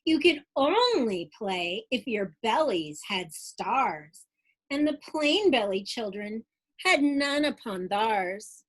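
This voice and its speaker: Animated, high-pitched woman reading to children. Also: poor audio. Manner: expressive, dramatic, pauses to question young listeners. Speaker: teacher reading to students. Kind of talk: animated children's picture-book read-aloud.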